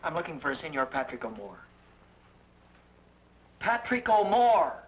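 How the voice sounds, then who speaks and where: A man's voice: a little high, sing-song, a little slow, distant mic. An actor playing a brash, cocky American man searching for his brother in an old radio drama, wisecracking and pushy. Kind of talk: 1940s radio acting. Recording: dull lo-fi old radio recording with faint hiss.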